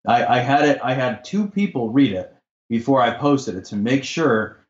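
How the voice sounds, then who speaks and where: A man's voice: medium pitch, expressive, moderate pace. A man in a podcast conversation, talking in an assertive, impassioned way that turns to swearing when he gets heated. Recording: roomy, dull lo-fi sound.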